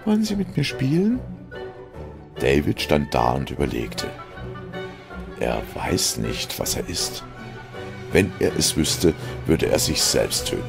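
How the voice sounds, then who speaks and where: A man narrating a dark audio story from a script, in a grave, deliberate manner. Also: a bass voice, creaky.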